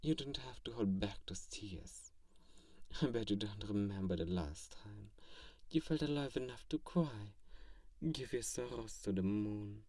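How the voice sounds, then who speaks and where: A man voicing a kindly, grandiose vampire who befriends a lonely human, speaking softly and earnestly in an intimate ASMR roleplay. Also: lowish voice, unhurried, far from the mic. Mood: tender. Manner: soft-spoken, earnest, grandiose, gently teasing. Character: kindly, theatrical, self-styled great male vampire befriending a lonely human.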